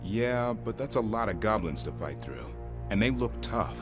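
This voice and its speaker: An actor playing a brave, wisecracking creature built of relics, with a dry, cocky delivery in a video game. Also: male voice, lowish voice, animated, normal speed, music in the background, natural voice. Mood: skeptical. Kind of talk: video game cutscene acting.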